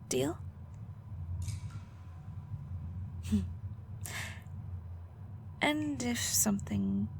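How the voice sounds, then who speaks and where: Woman acting a high-voiced, prickly, flustered alchemist girl. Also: gravelly, slight background noise. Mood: softened, playful. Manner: flustered, halting, teasing, defensive. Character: prickly, guarded female alchemist, secretly caring and awkward. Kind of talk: audio roleplay acting, intimate one-sided dialogue.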